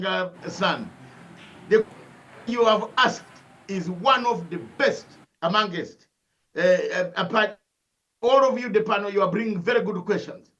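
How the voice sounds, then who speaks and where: A man's voice: high-pitched, measured pace, pauses often, bright, forceful tone, muffled recording. An older man with a Ugandan accent, speaking as an analyst guest in an impassioned, preachy way, firing rhetorical questions and repeating his points. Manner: emphatic, preachy, full of rhetorical questions and repetition. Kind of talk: impassioned interview commentary.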